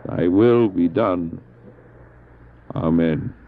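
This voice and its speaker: A man's voice: low voice, full character voice. Man acting a devout stranded missionary, tinny cassette drama. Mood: solemn prayer. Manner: solemn, reverent, earnest, deliberate dramatic phrasing. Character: devout male missionary stranded on drifting ice, recounting his ordeal. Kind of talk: audio drama acting with narrated recollection and prayer. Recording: muffled phone-like old cassette drama, music.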